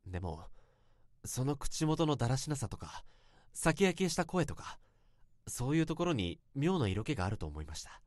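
Radio drama lead: male actor as awkward, earnest young man, polite confessional monologue, animated intonation, dry studio sound. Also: medium pitch, normal speed, no echo, lightly acted. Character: self-conscious young man confessing an awkward romantic memory.

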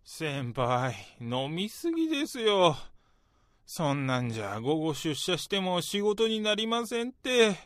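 A man's voice: high-pitched, big pitch swings, a little slow, no echo, studio quality. A young man acting an earnest, polite junior salesman who speaks deferentially in a radio drama. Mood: concerned, probing. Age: young adult. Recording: prominent music behind the voice.

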